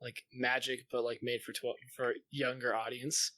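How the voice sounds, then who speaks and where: Male podcast host, medium pitch, casual and slangy, close dry recording. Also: flat delivery, normal speed, pushed and bright, no echo. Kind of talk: casual gaming chat.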